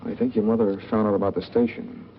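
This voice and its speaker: An actor playing a guarded, coaxing drifter in 1940s film noir style, talking casually and tersely. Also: male voice, fairly low pitch, little pitch movement, quick pace, close to an everyday voice. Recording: dull, noisy old film soundtrack.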